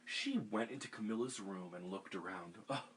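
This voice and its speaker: A man reading a picture book aloud for a children's storytime, animated and expressive. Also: deep voice, moderate pace, a little hiss or hum.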